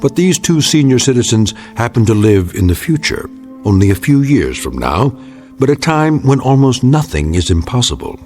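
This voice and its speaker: An actor playing a grave anthology narrator, delivering scripted radio drama narration in a measured, portentous manner. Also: male voice, medium pitch, big pitch swings, darkish tone, faint music behind, lightly acted.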